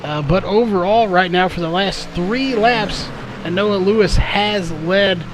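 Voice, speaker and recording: A man's voice: sits high, unhurried, background noise. A man doing live play-by-play race commentary, full of fillers and self-corrections, his voice a little worn. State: slightly losing his voice.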